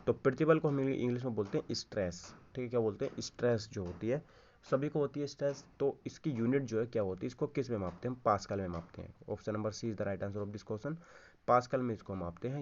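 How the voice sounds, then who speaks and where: A man's voice: medium pitch, fast, lo-fi sound, a little hiss or hum. A man teaching an informal exam-prep lesson, repeating key answers, checking in with listeners and mixing Hindi with English terms. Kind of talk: informal lesson, going through quiz questions.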